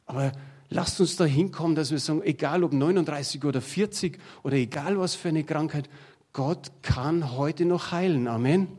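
A man's voice: a little high, normal speed, a little mellow. A middle-aged man preaching a sermon to his congregation, talking in a plain conversational way and drawing on everyday examples. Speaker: pastor.

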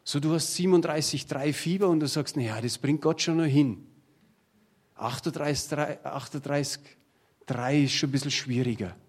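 A man's voice: a little high, fairly quick, husky. A middle-aged man preaching a sermon to his congregation, talking in a plain conversational way and drawing on everyday examples. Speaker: pastor.

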